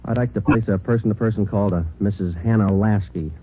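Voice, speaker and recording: An American man playing a terse, businesslike police sergeant in a 1940s radio detective drama, clipped, understated and deadpan. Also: lowish voice, brisk, a little hiss or hum, close to an everyday voice. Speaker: lead actor and narrator. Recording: muffled old radio recording.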